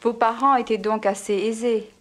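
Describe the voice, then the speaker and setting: A woman's voice: on the high side, steady tone, normal speed. A woman asking polite, formal interview questions.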